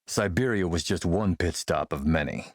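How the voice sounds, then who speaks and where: A man playing a shrewd, menacing militia boss and smooth-talking dealmaker in a video game, gravelly, measured, self-assured and wry. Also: deep voice, no room sound, clean studio sound. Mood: wry, boastful. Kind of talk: video game character dialogue.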